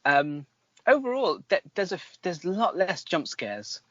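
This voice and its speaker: A man's voice: sits high, big pitch swings, unhurried, fairly bright tone, dry recording. Man chatting about films, dull lo-fi sound. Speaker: podcast host reviewing films. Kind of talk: casual review chat.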